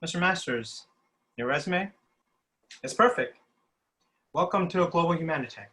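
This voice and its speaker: A man playing a brisk, pushy office boss in his thirties at a screenplay table read. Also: fairly high pitch, lively intonation, sharp tone. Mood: pleased, brisk.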